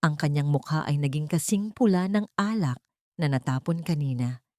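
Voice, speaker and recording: A woman's voice: low-pitched, moderate pace, a little mellow, no room sound, clean studio sound. A woman narrating a scripted dramatic story as a storyteller.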